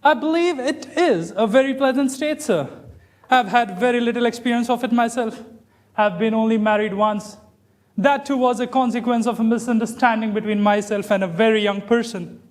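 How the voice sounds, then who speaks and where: Dry-witted, formal manservant for a stage comedy, played by a man; strikingly high-pitched, deadpan. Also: normal speed. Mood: deferential, deadpan. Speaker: student actor in a stage play. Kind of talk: stage comedy of manners acting.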